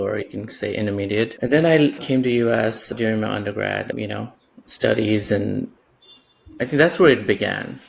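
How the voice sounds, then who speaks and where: A man's voice: on the low side, gravelly, a little hiss or hum. A man in his thirties from Nepal, a podcast guest talking through his career with lots of fillers and restarts. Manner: reflective, many fillers and restarts. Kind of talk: podcast interview.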